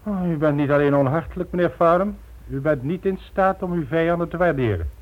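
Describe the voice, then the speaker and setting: An actor playing a megalomaniac, smugly polite criminal mastermind in a radio drama, making grandiose, condescending speeches. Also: male voice, a little high, fairly quick, close to an everyday voice. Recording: dull lo-fi old radio recording.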